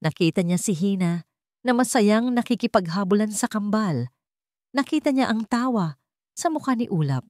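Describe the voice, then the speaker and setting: A woman with a slightly low voice narrates a romantic story. Her delivery is scripted and dramatic, and the recording is very dry with no room sound. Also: a little mellow, no room sound.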